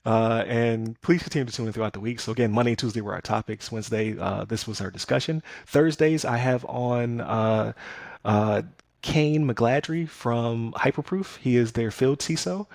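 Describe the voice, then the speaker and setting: A man's voice: mid-range voice, moderate pace, creaky, a little mellow, no echo, clean studio sound. A man hosting a casual podcast, talking things through loosely with plenty of fillers and restarts. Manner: conversational, many fillers and restarts. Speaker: podcast host.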